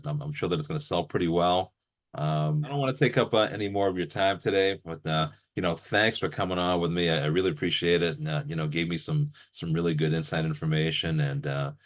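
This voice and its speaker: Very low-voiced man hosting an interview. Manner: informal, appreciative. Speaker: interviewer and video channel host. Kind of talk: casual interview chat.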